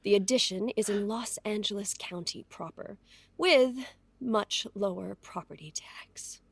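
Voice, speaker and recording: Sardonic rival wife, gravelly and breathy, cutting, period audio drama. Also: female voice, mid-range voice, unhurried, dry recording. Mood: wry, knowing. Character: sharp-tongued, scornful woman, rival wife of a late magnate.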